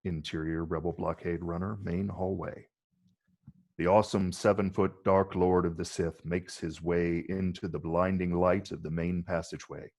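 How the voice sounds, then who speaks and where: A man's voice: low-pitched, gravelly, darkish tone. A man narrating stage directions from a film script in a dramatic table read.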